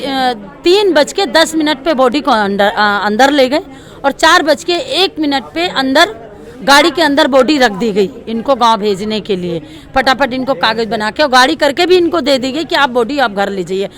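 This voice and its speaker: High-voiced woman speaking out. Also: expressive, unhurried, a bit bright. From Delhi, India. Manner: emphatic, repetitive. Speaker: local protester interviewed. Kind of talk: impassioned street interview. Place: outdoors at a protest. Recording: outdoor street with crowd noise.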